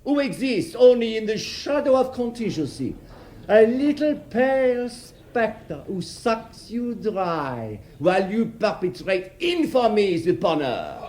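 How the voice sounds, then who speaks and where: An actor playing a brazen, self-righteous bourgeois murderer in a radio drama, declaiming in a florid, sardonic and gloating way. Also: male voice, sits high, big pitch swings, slow pace, noisy background, heavily acted. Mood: taunting, impassioned. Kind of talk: theatrical radio drama monologue.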